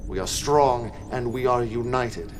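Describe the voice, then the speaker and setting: An actor playing a zealous, commanding prophet of a militant cult in a video game cutscene, speaking in a measured, theatrical way over music. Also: male voice, medium pitch, natural voice. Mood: measured, authoritative.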